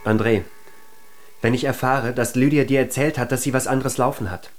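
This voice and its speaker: A man's voice: mid-range voice, steady tone, moderate pace, soft background music, lightly acted. An actor plays a jealous young man in a naturalistic radio drama. He presses and accuses, full of suspicion, as someone confronting a close friend. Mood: suspicious, accusing.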